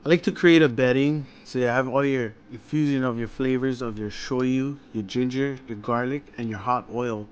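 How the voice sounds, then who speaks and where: A man casually explaining how he seasons and steams a fish as co-host, friendly and relaxed. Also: mid-range voice, moderate pace, hardly any pauses, highs cut off, soft background music. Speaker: cooking channel co-host. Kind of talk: casual cooking instructions.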